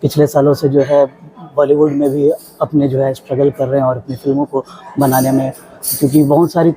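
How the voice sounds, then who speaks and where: A man's voice: fairly high pitch, noisy background. A man working as an interviewer, putting long, meandering and polite questions to a guest. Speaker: interviewer, journalist.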